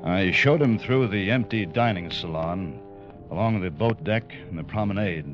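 A man's voice: low-pitched, normal speed, lo-fi sound, over music, lightly acted. An actor playing a dutiful, uneasy first officer who narrates his own story in an old radio drama. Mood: brooding narration. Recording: band-limited vintage radio broadcast.

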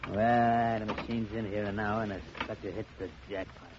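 A man's voice: fairly low pitch, steady tone, moderate pace, close to an everyday voice. A man playing a cocky, thuggish racketeer with slangy, menacing tough-guy talk in an old radio crime drama. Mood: gruff, impatient. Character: cocky thuggish slot machine racketeer, gloating brute. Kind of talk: 1940s-50s radio crime drama acting. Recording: scratchy, muffled old radio recording.

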